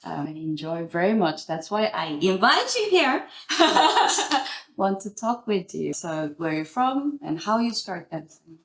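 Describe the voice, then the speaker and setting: Woman, Korean native speaker, very slow pace, reverberant room, attentive interviewer. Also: medium pitch, sing-song, fairly bright tone. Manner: attentive, many short listening sounds, simple English. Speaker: interviewer, host. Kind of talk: casual interview. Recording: roomy echo.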